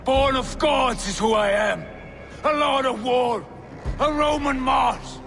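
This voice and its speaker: A man's voice: extremely high pitch, slow, vocal fry, fairly bright tone, shouting, slight background noise, exaggerated voice. An actor playing a proud, suspicious Viking jarl in his thirties, theatrical and accusing, in a video game. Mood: grandiose fury.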